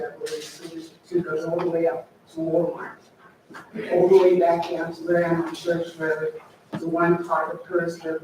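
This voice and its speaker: An older woman reads her prepared statement earnestly at a public hearing. She sounds far from the microphone in a strongly echoing meeting room, with faint background noise. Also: lowish voice. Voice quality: soft-voiced. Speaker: school board representative addressing a legislative delegation.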